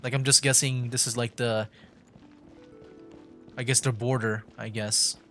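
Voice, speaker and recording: A male game streamer talks casually through his play, reasoning aloud in a hesitant way. His pitch is middling, with faint game music behind him. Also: level tone, a little slow, a bit bright. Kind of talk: casual live gameplay commentary.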